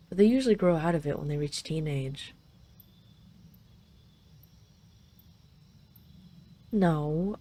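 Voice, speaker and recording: A haughty female dragon queen, played by a woman in an intimate audio roleplay. Her voice sits fairly low, with a very mellow, relaxed tone. She speaks imperiously and teasingly to her captive, possessive yet fond. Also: lightly acted. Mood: smug, possessive. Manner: imperious, teasing, intimate, slightly flustered when admitting affection. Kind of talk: roleplay audio drama addressed to the listener.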